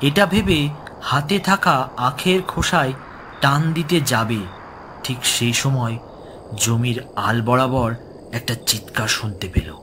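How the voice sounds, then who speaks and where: A man's voice: mid-range voice, takes it slowly, pushed and bright, a little hiss or hum. A man narrating a horror story in a dramatic, scripted storytelling style. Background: music.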